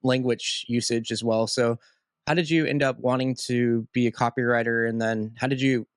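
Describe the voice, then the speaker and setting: A man's voice: medium pitch, steady tone, moderate pace, smooth, no echo. Guy hosting a podcast, rambly questions, says like a lot, dead dry mic. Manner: rambling, many "like" fillers. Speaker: podcast host. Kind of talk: casual interview questions.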